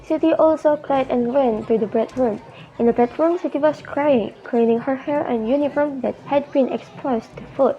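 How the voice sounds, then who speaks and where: A woman's voice: sits high, moderate pace, lo-fi sound. A young woman reading scripted narration for a radio drama. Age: young adult.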